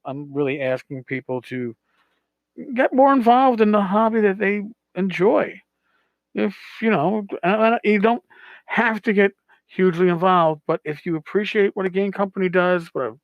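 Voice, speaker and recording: A man hosting a podcast, talking casually as he answers listener voicemails in a halting, word-searching way with repeats. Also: a little high, dry recording, lo-fi sound. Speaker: podcast host.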